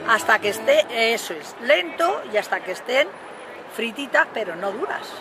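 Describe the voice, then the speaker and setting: A woman's voice: a little high, very animated, normal speed. A woman in her seventies from Madrid, with a Madrid accent, chatting casually in an outdoor interview. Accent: Madrid Spanish. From Madrid, Spain. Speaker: cooking contest entrant. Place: outdoor town square. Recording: outdoor camera sound, loud crowd and music.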